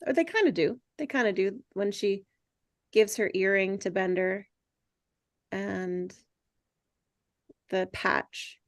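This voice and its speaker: A woman's voice: mid-range voice, slow, pauses often, no echo, studio quality. A woman talking casually and thoughtfully in a group discussion, as one of its hosts. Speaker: table read host who cast the reading.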